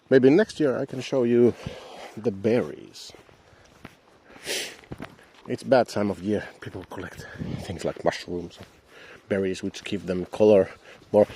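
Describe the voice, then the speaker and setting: A low-voiced man with a Finnish accent talks his way along a swamp boardwalk, his English halting and dotted with wry, self-deprecating asides. Faint outdoor sounds hum behind the handheld camera audio. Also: dark tone. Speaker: vlogger walking his dogs. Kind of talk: casual walk-and-talk narration. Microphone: handheld camera mic.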